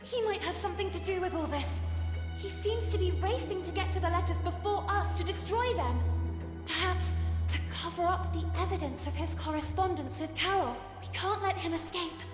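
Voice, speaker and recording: An earnest, determined little heroine for an animated game. The girlish voice sits extremely high and echoes, with music clearly behind it. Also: moderate pace. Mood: urgent, determined. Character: earnest, polite, determined young girl.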